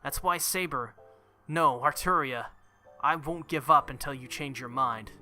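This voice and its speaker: A man's voice: medium pitch, measured pace, sharp tone, soft background music. A man voicing an earnest, stubborn, lovestruck teenage boy hero in a visual novel, speaking insistently. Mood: passionate, insistent. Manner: insistent, heartfelt.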